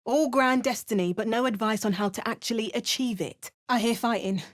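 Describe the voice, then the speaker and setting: A woman's voice: fairly high pitch, a little fast, close to an everyday voice. A woman voicing a self-assured young adventurer who delivers game voice lines with dry, wry asides. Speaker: player character adventurer.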